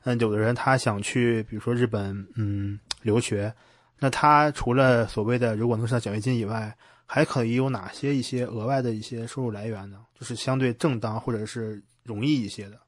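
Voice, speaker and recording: A man's voice: mid-range voice, steady tone, a little fast, dry recording. A man hosting a casual interview, asking wordy, informal questions and checking back as he goes. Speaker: podcast host, interviewer.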